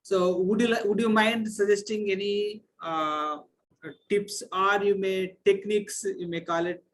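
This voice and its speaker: Indian-accented male host, high-pitched, slow, polite, hesitant questioner. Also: fairly even intonation, fairly bright tone, a little hiss or hum. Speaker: host of online class. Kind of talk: video-call interview.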